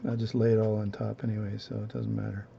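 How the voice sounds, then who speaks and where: A man with a low voice talking through his watch repair at a home workbench, relaxed and rambling as he narrates each step. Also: fast talker, creaky, muffled recording, slight background noise. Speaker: watchmaking hobbyist demonstrating. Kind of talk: casual bench demonstration, talking while working.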